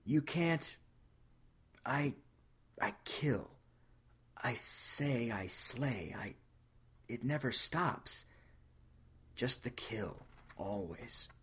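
A man voicing a troubled woman of about sixty in a story reading, speaking haltingly in broken fragments. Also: medium pitch, expressive, sharp tone, husky, heavily acted. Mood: anguished, halting. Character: troubled sixty-ish woman, haunted, halting, institutionalised slayer. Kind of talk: audio fan fiction reading.

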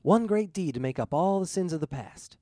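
A man's voice: sits high, expressive, a little fast, warm tone, dry recording, studio quality, voice pushed up. A man voicing a shapeshifting spirit posing as a dead pilot, ominous and pleading in an earnest, insistent way.

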